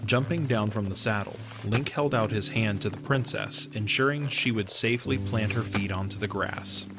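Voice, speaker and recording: A man's voice: fairly low pitch, normal speed. A man reading scripted narration for a fantasy audio drama. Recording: music under the voice. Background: music.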